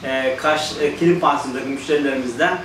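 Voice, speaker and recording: A middle-aged Turkish man with a Turkish accent, hosting a casual interview in halting English with many fillers. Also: mid-range voice, steady tone, a bit bright, a little hiss or hum. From Turkey. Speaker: host, guesthouse owner. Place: living room of a guesthouse, indoors. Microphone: far camera. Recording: echoey room from far off.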